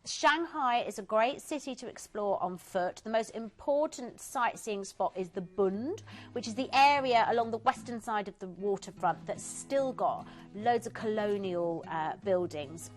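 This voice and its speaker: A woman's voice: medium pitch, animated, measured pace, soft background music. A woman presenting cruise deals on a TV shopping channel, chatty and enthusiastic, talking through destinations with fillers. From England. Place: TV studio.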